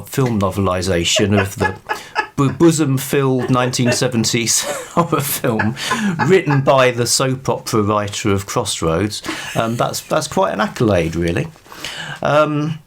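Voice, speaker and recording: A man's voice: medium pitch, slight background noise. A man reviewing books casually, chatting in a rambling way with wry understatement. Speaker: book reviewer. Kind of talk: casual book review to camera.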